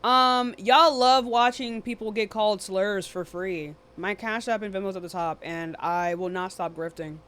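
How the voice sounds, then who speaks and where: A woman's voice: medium pitch, normal speed, few pauses, fairly bright tone, smooth. A woman hosting a live debate stream in a casual, blunt, dismissive and often profane way. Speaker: livestream debate host.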